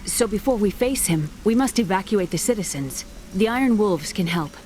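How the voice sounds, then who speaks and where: A woman's voice: medium pitch, lively intonation, moderate pace, a little mellow, a little hiss or hum, natural voice. An actress playing a brave young woman in a video game, speaking urgently and directly. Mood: earnest. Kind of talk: video game cutscene acting. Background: game music.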